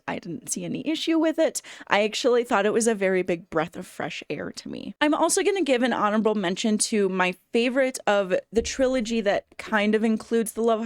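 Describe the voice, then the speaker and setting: A woman talks casually to her phone camera in a book review style. Her voice is smooth and clean at a medium pitch, with very little room sound. Her delivery is conversational and enthusiastic. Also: dry recording, studio quality.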